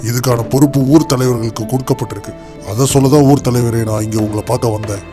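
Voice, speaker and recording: A man's voice: medium pitch, fairly even intonation, fast talker, over music, close to an everyday voice. Man as a formal, dutiful palace guard chief. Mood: grave. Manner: formal, official. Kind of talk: animated moral story dialogue.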